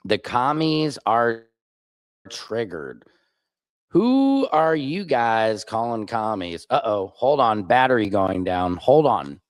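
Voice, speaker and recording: A man's voice: mid-range voice, big pitch swings, takes it slowly, dry recording, clean studio sound. Indignant man hosting a livestream. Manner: heated and indignant, talking back to clips and chat. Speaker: livestream host. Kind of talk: political livestream commentary.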